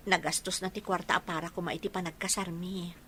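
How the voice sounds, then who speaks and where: A woman's voice: lowish voice, little pitch movement, brisk, sharp tone, a little hiss or hum. An actress playing a kind, patient young woman in a radio drama. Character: kind, patient young fiancée and dutiful daughter. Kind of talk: Ilocano radio drama dialogue.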